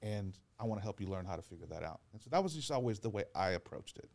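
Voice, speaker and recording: A man, a pastor, talking earnestly in a relaxed podcast chat, telling stories and acting out what people said. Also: lowish voice, quick pace, vocal fry. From Georgia, US. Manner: earnest, encouraging storyteller who acts out quotes. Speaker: pastor and guest. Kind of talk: casual podcast conversation.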